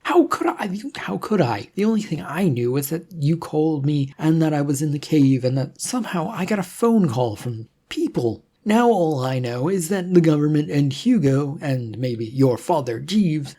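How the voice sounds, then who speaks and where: A narrator putting on an exasperated young hero, pitched up. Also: lively intonation, normal speed, few pauses, warm tone, husky. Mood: indignant, exasperated. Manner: indignant, sarcastic, rambling. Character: exasperated, suspicious young male reluctant hero.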